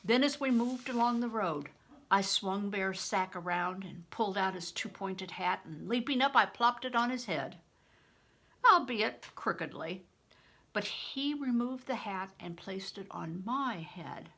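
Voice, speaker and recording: An older woman reading a children's novel aloud as a storyteller, in expressive, deliberate audiobook narration. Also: mid-range voice, creaky.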